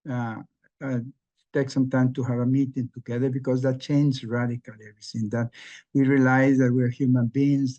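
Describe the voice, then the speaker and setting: Man, Costa Rican Spanish accent, earnest, halting, mellow tone, dull lo-fi sound. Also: medium pitch. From Costa Rica. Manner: earnest, halting, with frequent restarts. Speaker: community member sharing. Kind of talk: personal sharing at an online gathering.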